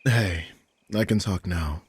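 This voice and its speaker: A man playing a grumpy, sardonic old vampire mentor in an intimate audio roleplay, husky and informal, prone to swearing. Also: low-pitched, slow pace, full character voice. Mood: defensive, sardonic.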